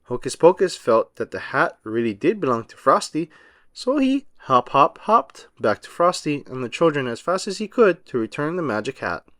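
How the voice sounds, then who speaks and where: A man narrating a children's storybook aloud as an expressive, emphatic storyteller. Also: fairly high pitch, moderate pace, no echo, studio quality.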